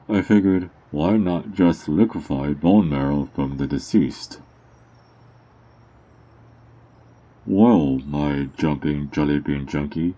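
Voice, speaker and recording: A man playing a sly, mocking underground drug dealer in an ASMR roleplay, teasing and smug, with theatrical drawn-out words. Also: very deep voice, mellow. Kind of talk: ASMR character roleplay monologue.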